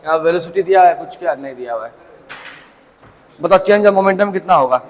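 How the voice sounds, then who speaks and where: A man's voice: a little high, lively intonation, moderate pace. A male physics teacher giving a classroom lecture in Hindi mixed with English, often putting questions to the class. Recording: long echo in a large hall.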